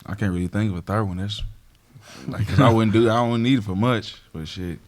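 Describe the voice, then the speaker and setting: Laid-back guest from the South, very low voice, casual swearing. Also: male voice, a little fast, darkish tone. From Georgia and Tennessee. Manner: easygoing and profane. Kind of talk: casual group chat.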